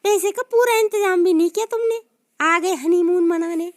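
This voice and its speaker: Woman playing a nagging greedy new bride. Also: extremely high pitch. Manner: nagging, dramatic, quick to complain. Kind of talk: comic sketch.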